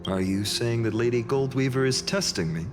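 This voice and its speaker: A man playing an earnest young soldier, humble and questioning, with a quietly wry streak. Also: medium pitch, moderate pace, lightly acted. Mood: uncertain. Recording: long hall reverb with faint music.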